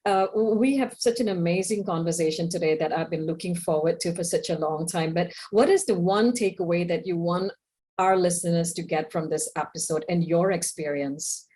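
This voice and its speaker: A woman's voice: fairly low pitch, moderate pace, dark tone, soft background music. A woman hosting a conversational interview podcast, speaking in an effusive, appreciative way to her guest. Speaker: podcast host.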